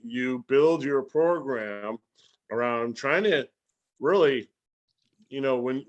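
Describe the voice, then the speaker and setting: Male guest educator, medium pitch, casual and folksy explainer who voices imagined dialogue. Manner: animated, acts out imagined dialogue. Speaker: guest speaker and science educator.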